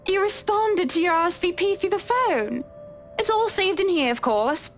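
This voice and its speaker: High-voiced, genteel lady of the manor. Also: animated, normal speed, soft background music. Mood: gracious, warm. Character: genteel, affectionate lady of a mansion, wry. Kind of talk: visual novel voice acting.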